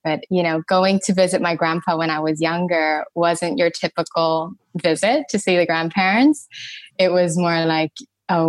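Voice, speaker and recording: A young adult woman from Thailand, a podcast guest and energy healer, telling her story in a lively, conversational way with laughs between phrases. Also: fairly low pitch, dry recording.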